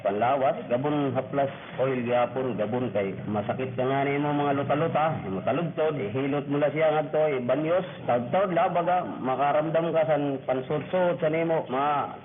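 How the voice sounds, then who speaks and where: A man's voice: a little high, moderate pace. A man with a Waray accent giving an informal spoken testimonial. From Samar, Philippines. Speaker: testimonial giver. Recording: tinny radio broadcast with loud hiss.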